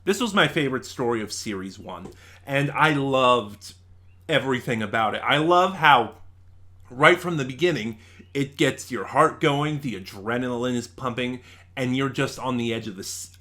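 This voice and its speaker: A man's voice: mid-range voice, fairly bright tone. Casual male reviewer counting down a ranking. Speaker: reviewer and channel host.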